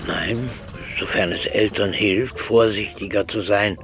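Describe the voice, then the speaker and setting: A man's voice: fairly low pitch, steady tone, unhurried. Bitter, grieving old man. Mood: subdued, recounting. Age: older. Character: grieving, frail old former reverend, bitter and lonely. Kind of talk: German radio play acting. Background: music.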